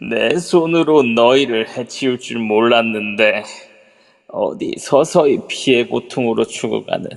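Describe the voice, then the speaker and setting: A man's voice: medium pitch, takes it slowly, gravelly. A man voicing a vicious old psychopath villain who taunts and cackles in an amateur audio drama. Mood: menacing. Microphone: phone via a voice chat app. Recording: long reverb.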